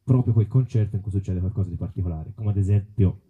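A man's voice: low voice, quick pace, breathy edge, narrow, tinny audio. A man giving an informal book talk, explaining and posing questions to himself. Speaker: author and musicologist presenting his book. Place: outdoor festival event.

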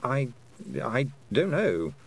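Man as talking toad, mid-pitched, detached, pedantic, dry, matter-of-fact, audiobook animal character. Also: sing-song, slow pace, breathy edge, full character voice.

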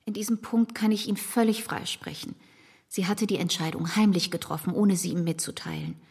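Low, husky-voiced conciliatory gentlewoman. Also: big pitch swings, moderate pace, heavily acted. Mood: earnest. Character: Mrs Weston, kindly, conciliatory older friend and confidante. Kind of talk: radio drama acting of a literary classic.

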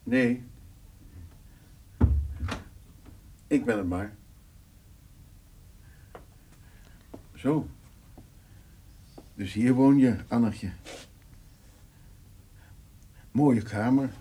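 An actor playing a remorseful, awkward older farmer in a radio drama, speaking haltingly. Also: male voice, mid-range voice, mellow, slightly rough. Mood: awkward, hesitant. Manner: hesitant, halting. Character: remorseful, awkward older male farmer visiting an old neighbour. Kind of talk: naturalistic radio drama dialogue.

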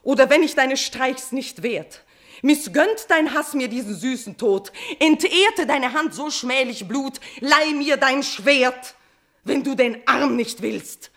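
An actress playing a tormented, lovesick queen in a classical verse radio tragedy, declaiming with passion and slipping between breathy whispers and swelling outbursts. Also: sits high, lively intonation, takes it slowly, fairly bright tone, heavily acted. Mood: desperate, frenzied.

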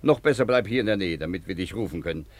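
An actor playing an irritable, authoritative examining magistrate, questioning and exclaiming in a 1950s German radio drama. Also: male voice, low voice, lively intonation, fast, dry recording. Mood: official, probing.

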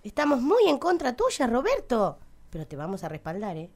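A woman's voice: fairly high pitch, huge pitch swings, moderate pace, a little mellow, heavily acted. An Argentine woman playing a contrary, wavering councillor in a satirical radio play, scolding and emphatic yet compliant.

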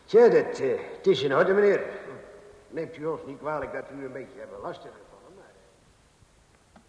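An actor playing an apologetic police officer in a radio play, speaking haltingly and deferentially. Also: male voice, medium pitch, expressive, darkish tone, roomy sound, big-room sound, highs cut off, close to an everyday voice. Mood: apologetic, awkward.

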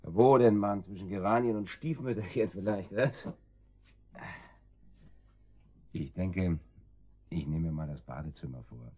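Casting: flippant, dandyish henchman in radio drama; low raspy voice, dripping sarcasm. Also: moderate pace, darkish tone. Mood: mocking. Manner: sarcastic, drawling relish, tags questions with "was". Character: mocking, flippant gangster henchman with dandyish tastes. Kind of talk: German radio drama acting.

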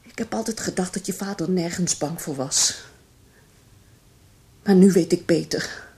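A woman playing an anxious, insistent middle-aged mother in a radio drama, speaking haltingly and with feeling. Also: lowish voice, dark tone, slight background noise. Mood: anguished. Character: anxious middle-aged mother defending her troubled son. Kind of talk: Dutch radio drama acting.

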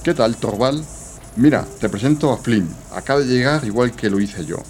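A man's voice: medium pitch, expressive, normal speed, background noise, natural voice. A man playing a stranded janitor in an audio drama, deferential, confused and given to grumbling. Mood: chatty. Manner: deferential, complaining. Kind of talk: amateur audio drama acting.

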